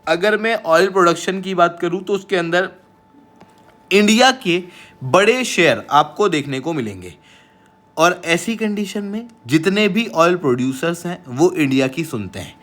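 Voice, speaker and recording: An Indian man lectures in the style of an online exam-prep teacher. His voice sits quite high and has a bright, forceful edge. He explains emphatically and slips English phrases into his explanations. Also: animated, moderate pace. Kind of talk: explanatory current-affairs lecture to camera.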